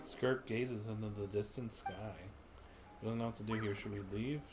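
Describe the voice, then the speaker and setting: A man's voice: lowish voice, steady tone, moderate pace. A man livestreaming a video game, commenting and reading dialogue lines aloud. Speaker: game streamer. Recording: game music behind the voice.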